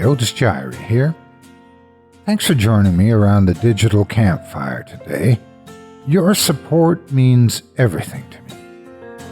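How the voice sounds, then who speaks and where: A man with a husky voice, a storyteller delivering scripted narration in deliberate, theatrical phrasing. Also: lowish voice, mellow. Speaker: horror story narrator and host. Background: faint music.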